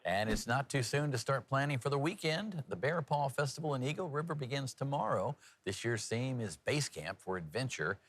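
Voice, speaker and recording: A man's voice: medium pitch, animated, normal speed, hardly any pauses, no echo. A man working as a TV news anchor and reporter, with a folksy, conversational, jokey way of presenting.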